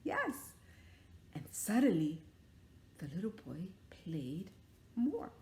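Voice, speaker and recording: A woman telling a story to young children, dramatic, stretching out words and dropping into hushed tones, with questions to her listeners. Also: lowish voice, wildly swooping pitch, takes it slowly. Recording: echoey room.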